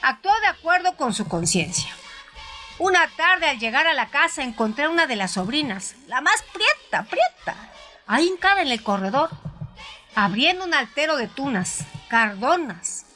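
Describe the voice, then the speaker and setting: A woman narrating a story in the first person in a conversational, dramatized style, with hesitant pauses. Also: high voice, big pitch swings, pushed and bright. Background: music.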